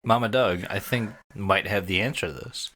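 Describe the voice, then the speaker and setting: A woman in casual comedic podcast chat, telling stories in an animated, crude way and swearing freely. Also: a bass voice, moderate pace. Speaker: podcast co-host.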